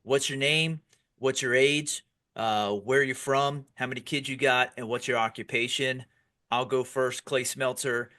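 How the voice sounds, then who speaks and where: A man's voice: mid-range voice, fairly even intonation, measured pace, sharp tone. A man in his forties with an American accent, hosting a casual podcast in a blunt, informal way and directing the group. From York, Pennsylvania, United States. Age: 40s. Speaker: podcast host.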